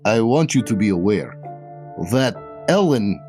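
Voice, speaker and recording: A grave, low-voiced patron of a thieves guild, put on by a man running the game. He weighs each word slowly and deliberately, with a faint bed of music beneath. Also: big pitch swings, a little mellow. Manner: slow, careful, deliberate warnings. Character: grave, measured male guild benefactor.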